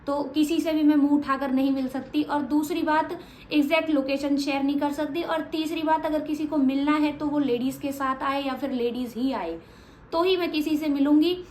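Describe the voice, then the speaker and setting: A high-pitched woman, speaking plainly and a little bluntly as she answers her viewers. Her voice rings in an echoey room. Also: fairly even intonation, normal speed, a little mellow, slight background noise. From Haryana, India. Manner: frank, matter-of-fact, a little blunt. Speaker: vlogger. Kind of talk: casual Q&A vlog.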